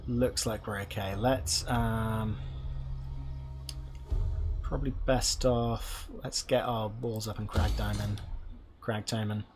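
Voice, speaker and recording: Hoarse man musing over a game. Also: on the low side, flat delivery, slow, pauses often, background noise. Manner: musing aloud, hesitant with many ums. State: hoarse from being unwell. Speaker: gameplay commentator. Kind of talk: casual let's-play commentary. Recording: music playing behind the voice.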